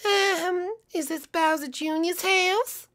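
Very high-pitched, sassy cartoon girl. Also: fairly even intonation, takes it slowly, a bit bright, full character voice. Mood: coy. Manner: coy, then pushy and combative.

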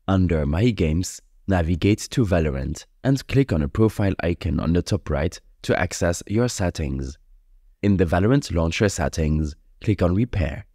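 A man with a low, dark voice narrates a step-by-step tutorial. His delivery is measured and instructional. The sound is close and very dry, like a direct voice-over. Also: slightly rough, no echo. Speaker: tutorial host.

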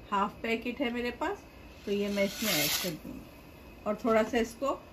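Woman host, fairly high voice, calm step-by-step kitchen instructions, frying noise behind. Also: rough recording. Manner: patient, repeats steps, addresses viewers directly. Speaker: cooking show host. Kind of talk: step-by-step cooking demonstration. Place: home kitchen, voice over stovetop sizzling. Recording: audible frying and stirring noise.

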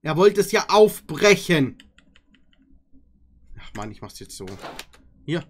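Animated young man reacting casually. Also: sits high, takes it slowly, background noise. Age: young adult. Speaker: reaction video host. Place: decorated room at home.

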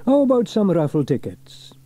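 A man's voice: high voice, huge pitch swings, moderate pace, warm tone, pitched above the performer's own voice, full character voice. A man voicing a cheerful, reassuring fireman hero in a children's storybook. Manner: cheerful, dependable. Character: hearty, reassuring adult male fireman hero.

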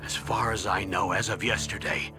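An actor playing an older military colonel in a video game, grave, formal and measured, guarded in what he says. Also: fairly low pitch, steady tone, over music, lightly acted. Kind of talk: video game radio briefing acting.

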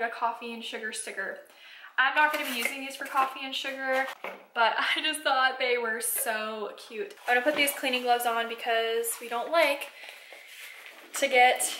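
A bubbly young woman in her twenties chats through her cleaning routine, her voice sitting a little high. The recording was made in her apartment, and clear household noise runs underneath. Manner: chatty and upbeat, with frequent "like". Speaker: lifestyle vlogger. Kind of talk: casual vlog narration while cleaning. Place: apartment kitchen and bathroom.

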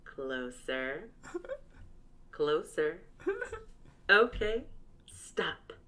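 A synthetic woman's voice with an Australian accent and a deep tone, playing a crystal-ball oracle. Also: animated, drawn-out words, sharp tone, exaggerated voice. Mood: ominous.

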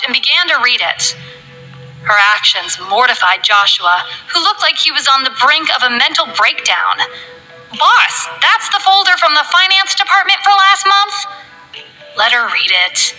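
A woman narrating a romance novel in a steady, scripted storytelling read. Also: on the high side, rough recording.

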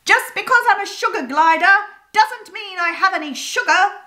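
A woman's voice: very high voice, expressive, moderate pace, sharp tone, pitched above the performer's own voice, heavily acted. A woman voicing a sly, greedy little male sugar glider who chuckles to himself. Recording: roomy echo.

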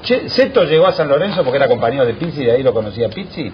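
Lively Argentine panelist on muffled radio. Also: male voice, medium pitch, racing. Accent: Argentine Spanish. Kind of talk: radio sports talk. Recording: muffled radio broadcast with faint noise.

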